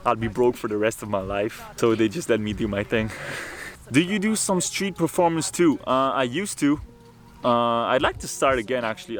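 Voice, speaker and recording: Young man, from Ireland, mid-pitched voice, casual Q&A vlog, candid and laid-back, faint music behind. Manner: reads out questions, then answers candidly and laid-back. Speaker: beatboxer answering fan questions.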